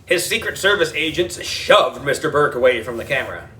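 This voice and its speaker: A man's voice: a little high, lively intonation, fairly quick, pushed and bright, distant mic. A man narrating a children's audiobook in a playful, exaggerated storytelling manner. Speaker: audiobook reader.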